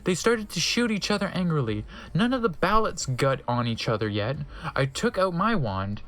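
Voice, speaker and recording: A man's voice: a little high, sing-song, moderate pace, fairly bright tone. A man giving a theatrical, exaggerated comedic reading of fan fiction, breaking off now and then to react. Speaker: reader of a comedic dramatic reading.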